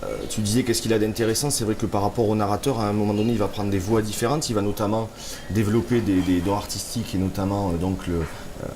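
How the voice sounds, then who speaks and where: A man's voice: on the low side, fairly even intonation, fast, noisy background. A man, an author, answering interview questions in a thoughtful, hesitant way with many fillers, a little stuffy from a cold. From France. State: slightly congested with a cold. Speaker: author guest. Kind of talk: relaxed interview conversation.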